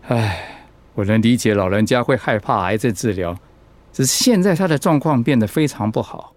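A man playing a serious, measured doctor explaining things gravely in a radio drama. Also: mid-range voice, lively intonation, no echo, natural voice. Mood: concerned reproach.

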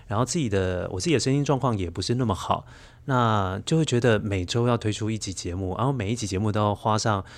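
A man's voice: lowish voice, fast talker. Middle-aged Taiwanese man telling personal stories. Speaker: podcast storyteller, interview guest. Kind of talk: personal storytelling in a conversational interview.

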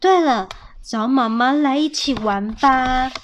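A playful father squirrel from a children's picture book, put on by a woman. The voice sits high for a dad, with a hearty, homely manner suited to a story read aloud. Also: takes it slowly, slight background noise. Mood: cheerful. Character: playful squirrel dad, grumbling about cold, then hearty.

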